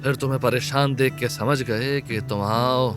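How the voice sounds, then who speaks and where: A man's voice: medium pitch, fairly even intonation, moderate pace, lightly acted. An actor playing an earnest young man who speaks in rustic village speech, wounded but still in love, in an audio drama. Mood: hurt. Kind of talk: Hindi audio drama acting. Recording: soft music bed underneath.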